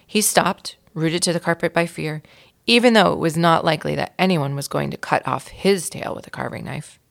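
A woman reading a children's story aloud in an expressive storytelling style, with a creaky voice. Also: deep voice, normal speed. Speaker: volunteer reader of a children's book.

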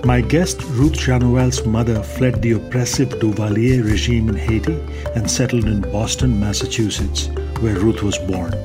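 A man hosting an interview show, reading a measured introduction and asking questions. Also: fairly low pitch, fairly even intonation. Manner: measured, reads guest intro. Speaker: podcast host. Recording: music bed behind voice.

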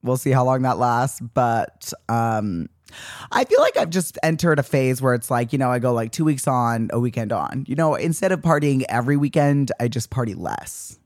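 A young man in his twenties hosts his own podcast alone, chatting in a sassy, animated way. His voice sits at an ordinary middle pitch. The recording is close and dry, with no room sound around it. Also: moderate pace, dry recording. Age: 20s. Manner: sassy, animated rants with mock-indignant quoted voices. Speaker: podcast host. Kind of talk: solo podcast monologue.

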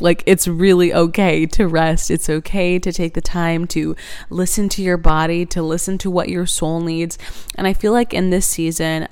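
A woman's voice: fairly low pitch, a little mellow, clean tone. A woman in her twenties hosting a reflective faith podcast, earnest and chatty, laughing now and then and using many fillers. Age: 20s. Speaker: podcast host.

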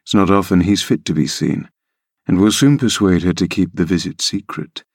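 A man reading an audiobook, voicing a brooding, sarcastic middle-aged man in a low voice. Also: normal speed, vocal fry, slightly rough, no room sound, clean studio sound, full character voice. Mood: sardonic.

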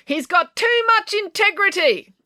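A woman reading a story, voicing a bossy, stern teenage girl who talks slowly and emphatically. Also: very high voice, lively intonation, pushed and bright, dry recording, clean studio sound, voice pushed up, exaggerated voice.

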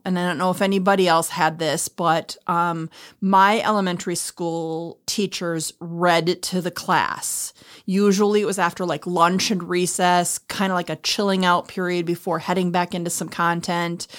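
A middle-aged woman talking casually and reflectively, explaining things in an earnest, conversational way as a co-host. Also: lowish voice. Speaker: podcast co-host, former elementary school teacher.

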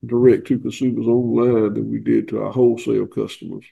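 An older man with a Southern accent and a head cold, talking in a folksy, plainspoken way on a video call, often pausing on fillers. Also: medium pitch, little pitch movement, gravelly, dark tone, husky, muffled recording. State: head cold, stuffy nose. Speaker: guest farmer. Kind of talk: casual interview.

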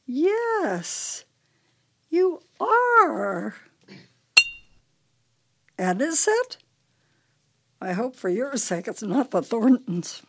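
An actress playing a fretful, grieving older wife in a radio play, plaintive and emotional. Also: female voice, on the high side, big pitch swings, extremely slow, gravelly, long pauses, a little hiss or hum, exaggerated voice. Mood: anxious, tender. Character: fretful, grieving elderly wife, clinging to memories.

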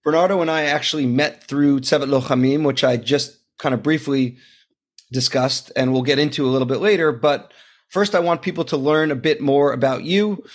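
Male host, thirties, slightly higher voice, polished scripted intro. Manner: scripted introduction, friendly questions. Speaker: podcast host. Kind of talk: interview hosting.

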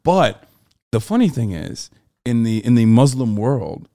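A man's voice: mid-range voice, big pitch swings, unhurried, mellow. A man from Turkey co-hosting a podcast, joking and swearing loosely as he rambles in casual chat. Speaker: podcast host.

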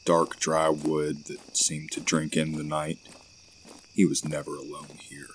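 A man hosting and narrating a horror audio story with deliberate, measured phrasing. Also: very deep voice, completely flat delivery, a little hiss or hum. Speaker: podcast host and audio drama narrator.

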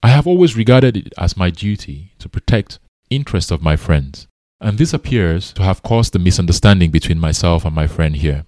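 A man reads as a storybook elephant, a sly minister of the jungle. The voice is low and dry, smooth in manner, superior and sure of its own importance. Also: moderate pace, a little mellow, no echo, close to an everyday voice. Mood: pompous. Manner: soothing, authoritative, superior.

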